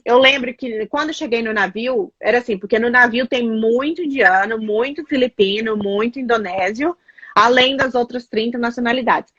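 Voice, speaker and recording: Brazilian woman guest, higher voice, animated casual talker, muffled dry call recording. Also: moderate pace, husky, no room sound. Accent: Brazilian Portuguese. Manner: chatty, animated storytelling with many fillers. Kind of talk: casual chat.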